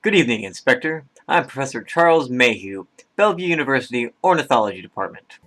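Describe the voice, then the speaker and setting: A man's voice: medium pitch, animated, fast talker, a bit bright, close to an everyday voice. A man playing an eager, absent-minded bird professor in a radio drama, earnest and academic.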